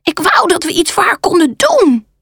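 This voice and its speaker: A woman's voice: extremely high pitch, sing-song, normal speed, vocal fry, a bit bright, no echo, clean studio sound, voice pushed up, heavily acted. A woman voicing a scrappy, eager little male bird in a children's storybook.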